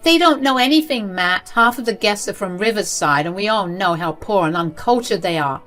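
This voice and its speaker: A woman's voice: on the low side, brisk, fairly bright tone, soft background music, lightly acted. A woman voicing a haughty, entitled middle-aged mother-in-law in a melodramatic, accusatory way, as in a told story. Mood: snobbish.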